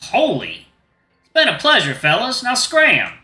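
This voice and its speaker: A man's voice: very high-pitched, wildly swooping pitch, a little slow, pushed and bright, voice pushed up, exaggerated voice. A man voicing a cheeky, sly animal market trader in a visual novel, with a folksy Southern US accent. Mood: gleeful. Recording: roomy echo with music behind.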